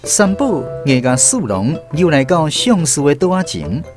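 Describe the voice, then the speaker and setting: Guy narrating a radio drama in taiwanese hokkien, lively storyteller delivery, soft guitar behind him. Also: fairly high pitch, measured pace.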